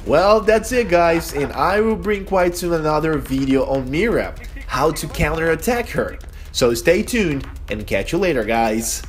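A man's voice: on the high side, lively intonation, measured pace, soft background music. A man from Brazil with a Brazilian accent and Portuguese as his first language, narrating a gameplay tutorial in careful, earnest non-native phrasing. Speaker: channel host, game guide.

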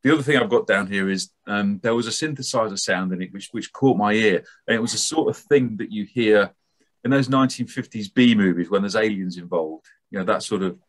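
A man's voice: lowish voice, fairly quick. A man in his forties giving a rambling, casual review on a video call, full of ums and sort-ofs. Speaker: panelist. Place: home room with bookshelves. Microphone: webcam on video call.

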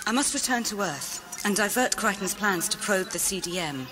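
A woman's voice: mid-range voice, animated, moderate pace, natural voice. A woman acts out an idealistic rebel with mystical conviction. She speaks solemnly and earnestly, with wide melodic movement. Music runs under this sci-fi audio drama. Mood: grave, resolute. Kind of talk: science-fiction audio drama acting. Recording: music behind the voice.

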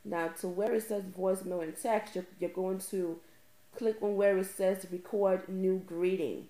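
A woman's voice: medium pitch, steady tone, a little slow, warm tone, roomy sound, poor audio. A woman presenting an informal step-by-step tutorial, emphatically repeating key phrases for stress.

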